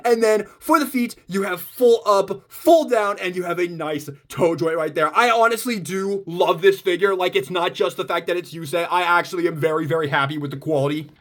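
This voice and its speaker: Male reviewer, high-pitched voice, enthusiastic fan delivery, casual unboxing chat. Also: a bit bright. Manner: gushing, enthusiastic fan talk. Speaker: toy reviewer.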